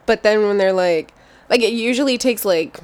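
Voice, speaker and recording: A woman's voice: medium pitch, moderate pace, darkish tone. A woman guesting on a podcast, chatting casually and laughing often. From United States, family in Mexico. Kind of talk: casual chat.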